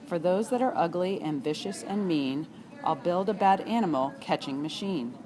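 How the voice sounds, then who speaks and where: A woman's voice: fairly low pitch, expressive, moderate pace, a crowd in the background. A woman on staff reading a rhyming storybook aloud. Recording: clear background room noise.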